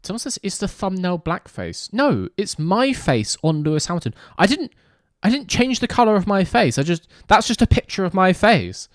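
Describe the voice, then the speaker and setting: Male game streamer, casual chat, animated pitch, dry sound. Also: normal speed, few pauses, dry recording. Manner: chatty, joking, reacting to chat. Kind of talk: casual gaming livestream chat.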